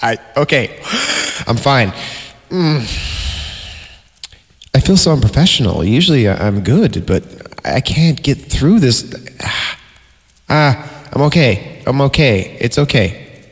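A man's voice: mid-range voice, very animated, slow, long pauses. A man hosting a horror-story podcast, reading in a mock-dramatic style and given to sarcastic comic asides. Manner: sarcastic, breaking off from narration into giggly asides. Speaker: podcast host reading creepypasta. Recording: reverberant voice over background music. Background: music.

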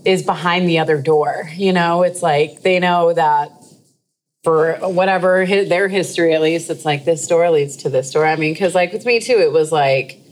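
Low-voiced woman interviewing casually. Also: normal speed.

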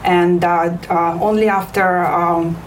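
A woman's voice: on the low side, steady tone, takes it slowly. A woman from Ukraine with a Ukrainian accent, a physician guest speaking hesitantly with many fillers in a studio interview. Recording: distant mic with faint noise.

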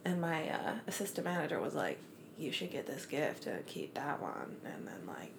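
Woman podcast cohost, quiet kinda muffly voice, reassuring, light hiss in the background. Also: sits low, very animated, normal speed, vocal fry. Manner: soft-spoken, reassuring, trails into murmurs. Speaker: podcast co-host. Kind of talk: casual chat.

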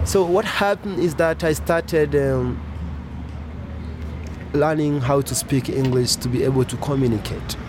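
Earnest young man, traffic behind. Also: a little high. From Congo. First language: French. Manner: slow, earnest, searching for words. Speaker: interview guest. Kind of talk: personal testimony.

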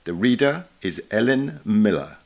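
A man's voice: on the low side, sing-song, measured pace. A man reading a scripted introduction as the presenter of a podcast.

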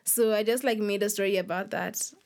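A woman's voice: mid-range voice, fairly even intonation, fairly quick, darkish tone. A young woman of about twenty answers interview questions casually, often dropping "like" into her sentences. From Nairobi, Kenya. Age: young adult, about 20. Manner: informal, peppers speech with "like". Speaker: guest author.

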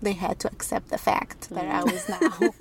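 A woman's voice: mid-range voice, very animated, normal speed, vocal fry, a crowd in the background. A young adult woman from Egypt, chatting candidly as a podcast guest, laughing often and restarting her sentences. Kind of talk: casual interview chat.

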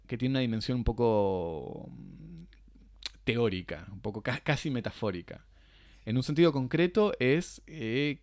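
Halting deep-voiced man lecturing. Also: no echo, clean studio sound. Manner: hesitant, many fillers and restarts. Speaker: panel speaker. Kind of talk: academic talk.

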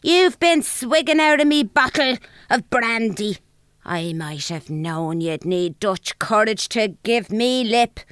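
A woman's voice: fairly high pitch, expressive, slow pace, a bit bright, smooth, no room sound, clean studio sound. A woman acting a scornful, crude older mother in an Irish comedy audio drama, brash and mocking with a Dublin accent.

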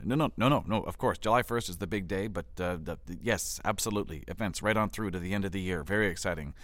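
A man's voice: low voice, fast, a bit bright. An actor playing a flustered, over-polite event organizer in a satirical audio drama, stammering and eager to please while hiding his exasperation. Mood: flustered backpedaling.

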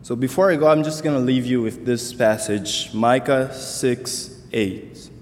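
A man from Congo giving an earnest church talk on scripture as a summer intern, speaking deliberately with some restarts. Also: mid-range voice, darkish tone, a little hiss or hum. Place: church sanctuary. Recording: long hall reverb.

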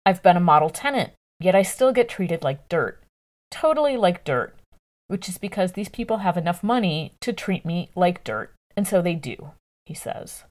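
A woman reading a scripted news article aloud in a measured, journalistic way with clear enunciation. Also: on the low side, darkish tone.

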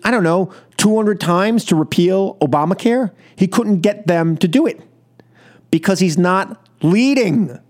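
A man hosting a live political call-in radio show, animated and joking, repeating phrases for emphasis. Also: sits high. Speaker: radio talk show host. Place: radio studio.

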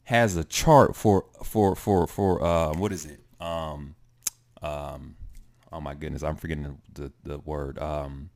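A man being interviewed in casual chat, often pausing with fillers and restarting his sentences. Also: extremely low pitch, steady tone, darkish tone. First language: English. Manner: hesitant, many fillers and restarts. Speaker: guest.

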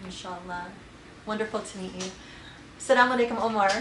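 A woman moderates an online question session, greeting each guest in turn and echoing their words back. She talks fast. The call audio is echoey and carries a loud noisy background. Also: medium pitch. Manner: warm greetings, repeats guests' words aloud. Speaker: host.

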